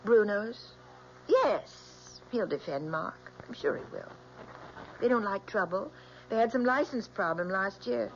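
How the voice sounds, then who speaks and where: A woman's voice: mid-range voice, animated, measured pace. An actress playing an anxious, devoted young woman with a hesitant, earnest manner in a radio drama. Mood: pleading, defensive. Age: young adult. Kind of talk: 1970s radio drama acting. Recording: dull old radio broadcast, faint hum.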